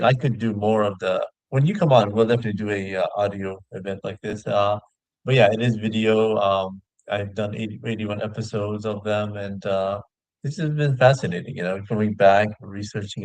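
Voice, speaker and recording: A man's voice: on the low side, steady tone, normal speed, a little mellow, dry recording, rough recording. A man who hosts his own show, chatting casually as a guest. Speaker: video show host appearing as a guest. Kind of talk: casual chat.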